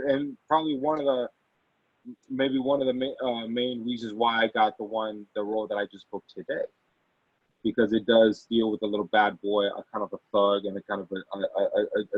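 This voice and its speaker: A man speaking as an actor being interviewed, casual and halting, often repeating and rephrasing himself. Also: lowish voice, breathy edge, no room sound. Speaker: actor and amateur producer, interview guest. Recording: dull, lo-fi audio.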